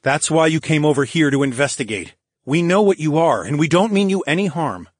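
A calm, insistent male adventurer, played by a man in a fantasy audiobook. He speaks firmly and reassuringly with some huskiness. The recording is dry and close. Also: a little high, brisk, dry recording, voice pushed up. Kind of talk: audiobook character dialogue.

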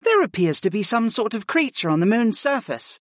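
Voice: mid-range voice, lively intonation, moderate pace, a bit bright, dry recording, studio quality, pitched above the performer's own voice, natural voice. A narrator voicing a composed, professional, analytical woman healer mage in a fantasy audiobook. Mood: calm, concerned.

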